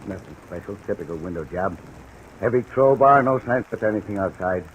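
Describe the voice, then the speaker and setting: An American man playing a gruff, matter-of-fact police detective in an old-time radio drama. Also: on the low side, expressive, a little fast, lightly acted. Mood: businesslike. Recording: old radio recording, faint crackly hiss.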